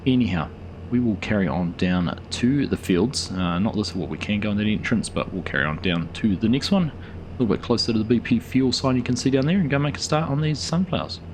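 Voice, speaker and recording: Hoarse, deep-voiced man. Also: fairly quick, a little hiss or hum. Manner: relaxed, rambling with frequent fillers. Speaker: gaming channel host. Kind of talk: casual gameplay commentary.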